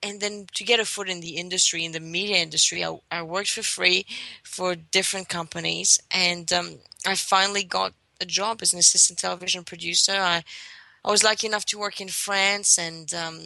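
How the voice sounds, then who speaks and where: A woman's voice: lowish voice, steady tone, fairly quick, gravelly, sharp tone, no echo, low-quality recording. A young woman from Greece telling her career story as a podcast guest, hesitant with fillers and restarts. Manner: hesitant, many fillers and restarts, self-deprecating. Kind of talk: casual interview storytelling. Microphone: conference call line.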